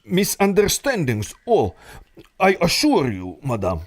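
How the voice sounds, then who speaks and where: A man's voice: on the high side, huge pitch swings, a little slow, exaggerated voice. An actor playing a pompous, scheming male villain who is a thief of antiquities, haughty and theatrical. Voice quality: haughty and theatrical, spits insults. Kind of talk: audio drama acting.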